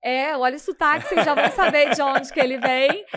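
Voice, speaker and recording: A woman hosting a podcast interview, upbeat and encouraging, full of quick affirmations. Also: sits high, fairly bright tone, dry recording, studio quality. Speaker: podcast host.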